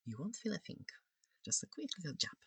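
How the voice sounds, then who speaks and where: A person voicing a curious, polite alien scientist in an ASMR roleplay, soft-spoken, hesitant and reassuring, breathy and close to the microphone. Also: medium pitch, huge pitch swings, a little fast, dry recording, exaggerated voice. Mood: gentle, reassuring.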